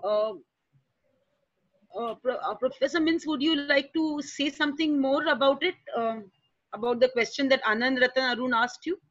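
A woman's voice: high voice, normal speed, fairly bright tone, no room sound. A woman moderating a panel over a video call, prompting speakers politely and a little hesitantly. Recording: dull, dry lo-fi call audio.

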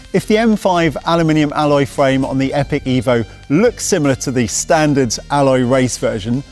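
A young man narrating a scripted mountain bike review in a brisk, technical, matter-of-fact way, speaking outdoors in woodland on a lapel mic. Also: a little high, darkish tone. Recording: faint music underneath.

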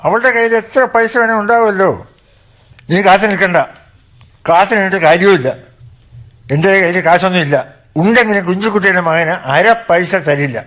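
A man's voice: high-pitched, a little fast, a bit bright. A man with a Kerala accent playing a bitter, sardonic middle-aged village father in a radio drama. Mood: bitter, scornful. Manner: sardonic, scornful. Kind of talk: Malayalam radio drama acting.

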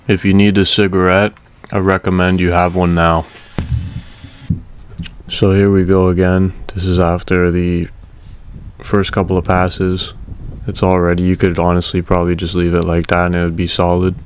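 A man casually narrating a welding repair video in a relaxed, matter-of-fact way. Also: low-pitched, normal speed, slight background noise.

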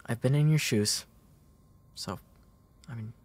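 A man acting a gentle, protective big brother in an intimate comfort roleplay audio, tender and hesitant, with a breathy, soft delivery. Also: mid-range voice, unhurried. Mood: tender, caring. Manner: hesitant, tender, soft-spoken, often dropping into breathy whispers.

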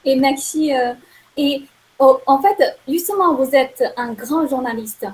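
Young female host on a video call, high-pitched, halting questions with fillers. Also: darkish tone, poor audio. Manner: hesitant, many fillers. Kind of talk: interview questions. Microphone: video call webcam.

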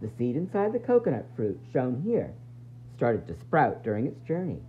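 Guy reading a kids book out loud, super up and down voice, playful dramatic bits, kinda muffled recording w faint noise. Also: medium pitch, wildly swooping pitch, normal speed. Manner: dramatic emphasis with playful asides. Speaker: teacher reading a picture book aloud. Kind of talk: animated read-aloud for young children.

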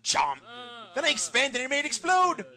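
A bright, swooping young ninja boy voiced by a man in a parody cartoon. He is naive and hyper, rambling like an excitable child. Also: very high voice, normal speed, full character voice. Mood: excited rambling. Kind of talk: comedic parody dub.

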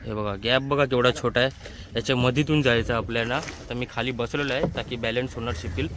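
A man's voice: medium pitch, fairly even intonation, a little fast, a bit bright. Man with Mumbai accent, noisy outdoor vlog. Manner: repetitive, pointing things out to viewers. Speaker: vlogger. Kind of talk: casual vlog commentary to camera. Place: outdoors on a small open boat on a creek. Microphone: handheld phone. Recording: noisy outdoor boat recording.

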